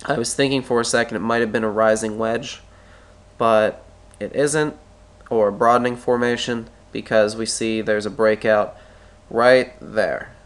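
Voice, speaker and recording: A man's voice: fairly low pitch, steady tone, unhurried. A man with a stuffy head cold walks through a stock chart tutorial, thinking aloud and often correcting himself as he draws. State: stuffy with a cold, clearing his throat. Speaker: stock market analyst. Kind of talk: screen-recorded chart tutorial.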